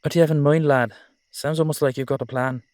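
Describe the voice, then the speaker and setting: A man playing a blunt, boisterous Irish dullahan swordsman in an audio drama, talking in brisk colloquial bursts. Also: fairly high pitch, fairly even intonation, a little mellow, no room sound, clean studio sound, natural voice. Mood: curious, urgent. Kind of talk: dramatic audio roleplay acting.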